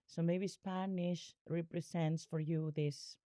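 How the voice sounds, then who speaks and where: A woman hosting a podcast, asking reflective questions and softly encouraging her guest with murmured agreement. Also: on the low side, fairly even intonation, slow, clean tone, no echo, clean studio sound. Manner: soft, encouraging, frequent murmured backchannels. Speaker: podcast host.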